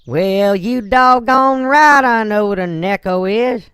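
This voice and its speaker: A man's voice: sits high, bright, forceful tone, smooth, no echo, clean studio sound, heavily acted. A man voicing a folksy, blunt but courteous businessman and father in a game roleplay. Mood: indignant, ashamed. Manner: folksy, blunt, drawn-out hesitations. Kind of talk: Minecraft roleplay voice acting.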